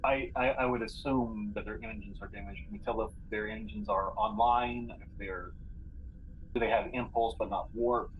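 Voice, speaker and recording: A man's voice: lowish voice, fairly even intonation, normal speed. A man thinking aloud in casual chat as a player in a tabletop role-playing game, a little tentative. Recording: dull lo-fi audio, clear background noise.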